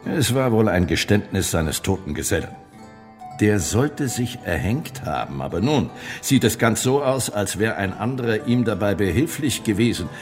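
An actor in a German radio play playing a shrewd, self-assured court politician who speaks in a deliberate, pointed way. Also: male voice, fairly low pitch, lively intonation, a little mellow, soft background music, natural voice.

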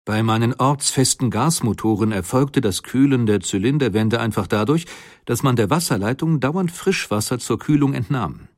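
A man playing a methodical inventor who recounts his technical work in a measured, matter-of-fact way, as in a scripted memoir. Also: mid-range voice, a little mellow, dry recording, studio quality, lightly acted. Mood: sober, explanatory. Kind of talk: scripted first-person memoir reading.

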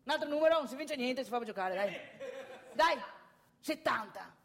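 Woman as an excitable, crude club regular, high-pitched. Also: normal speed. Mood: chummy, boastful. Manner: repetitive, emphatic, chatty, addressing listeners directly. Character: excitable, crude, boastful male strip-club regular from provincial northeast Italy. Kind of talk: live comic theatre monologue.

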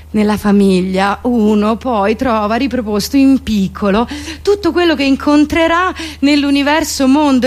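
High-pitched theatrical woman comedian. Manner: theatrical, mock-solemn, exaggerated delivery. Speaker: actress and comedian performing her own monologue. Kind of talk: theatrical comic monologue.

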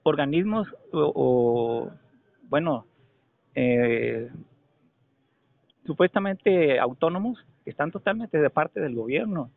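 A Mexican man making a call to argue a point, speaking insistently and haltingly, often repeating phrases for emphasis. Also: fairly high pitch, lively intonation, gravelly, no room sound. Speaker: caller. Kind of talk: phone complaint, argumentative questioning. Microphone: telephone. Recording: narrow, tinny telephone call recording.

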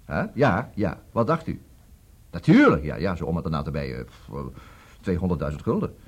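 An actor with a Netherlandic Dutch accent playing a busy, brisk, distracted male lawyer in a radio comedy, repeating himself and hesitating. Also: low voice, very animated, breathy edge, heavily acted. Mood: brisk, flustered. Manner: hesitant fillers, repeats words, juggles several conversations.

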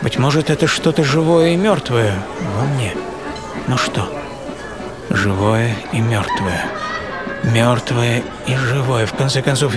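A man acts out a thoughtful middle-aged psychiatrist confiding in a radio monologue. His mid-range voice is theatrical and introspective, weighing phrases with dramatic pauses. Loud music runs underneath throughout. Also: medium pitch, close to an everyday voice. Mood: pensive, troubled. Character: middle-aged male Swiss psychiatrist recalling a troubling dream, introspective. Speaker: author and presenter of a radio programme.

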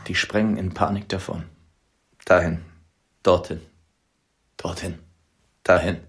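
An actor in a radio drama speaking as a grim male narrator, in a measured and somber delivery. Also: deep voice, little pitch movement, fairly bright tone.

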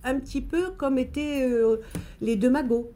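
A woman's voice: high-pitched, moderate pace, dark tone. A woman in her seventies from Paris, answering as an interviewed shopkeeper. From Paris, France. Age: 70s. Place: small umbrella boutique. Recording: faint shop ambience.

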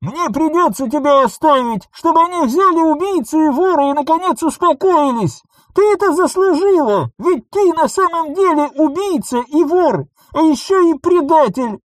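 A male narrator voicing a hateful, betrayed woman shouting from inside a space helmet, her voice muffled and strained. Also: very high voice, takes it slowly, hardly any pauses, no echo, voice pushed up. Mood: furious. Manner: shouting accusations. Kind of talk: audiobook character voice.

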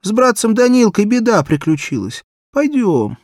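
Storybook role: small, frightened beggar girl, played by a man, raised pitch, imploring, dry sound. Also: big pitch swings, normal speed, no room sound. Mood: pleading, tearful. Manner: plaintive, imploring. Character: pleading, frightened six-year-old beggar girl.

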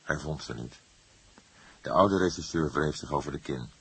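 A man's voice: a bass voice, normal speed, slightly rough. A man narrating a scripted crime story as an audiobook reader.

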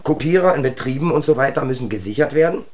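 An actor playing an eager, pedantic secret police underling in a radio drama, clipped and officious. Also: male voice, medium pitch, expressive, fast, a little mellow, lightly acted.

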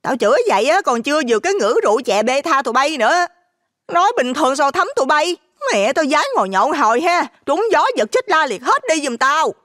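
A woman voicing a sharp-tongued, shameless village busybody in her fifties in a narrated story, with a Southern Vietnamese accent, scolding brazenly. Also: high-pitched, lively intonation, a little fast, dry recording, studio quality. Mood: scornful cursing. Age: middle-aged.

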